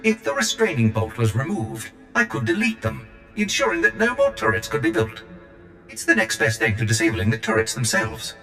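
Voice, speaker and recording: Bright, fussy protocol droid. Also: male voice, lowish voice, big pitch swings, moderate pace, exaggerated voice. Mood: pleading. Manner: prissy, theatrical complaining. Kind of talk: video game cutscene acting. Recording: echoey hall, music behind.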